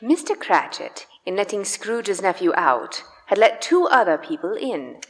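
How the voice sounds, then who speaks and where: A woman's voice: mid-range voice, sing-song, measured pace, noisy background. A woman narrating a scripted story dramatically for a live radio play. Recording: live in echoey church hall.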